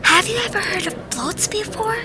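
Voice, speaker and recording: A woman voicing a headstrong, earnest and excitable young girl creature in an amateur cartoon audio drama. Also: very high voice, moderate pace, music in the background. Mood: curious.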